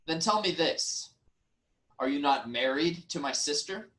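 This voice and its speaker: A man playing a wronged, reasoning nobleman in a formal, measured reading of ancient Greek tragedy. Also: a little high, animated, fairly bright tone, poor audio. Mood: reasoning, defensive. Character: wronged, reasoning nobleman, brother-in-law of the king.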